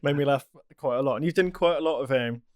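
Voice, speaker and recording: A man's voice: medium pitch, fast talker, no echo, studio quality. A man co-hosting a podcast, asking questions in a friendly way with small hesitations. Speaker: podcast host. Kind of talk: casual podcast chat.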